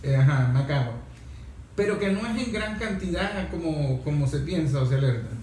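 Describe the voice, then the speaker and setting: A man's voice: a little high, animated, moderate pace, darkish tone. A man with a Venezuelan accent moderating a talk programme conversationally, reflective and often searching for his words. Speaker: host, moderator. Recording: echoey room, faint noise.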